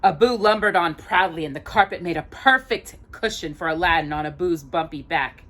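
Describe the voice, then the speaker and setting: A woman reading a children's story aloud expressively, pausing to put questions to a young listener. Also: fairly low pitch, fairly bright tone. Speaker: storyteller and host.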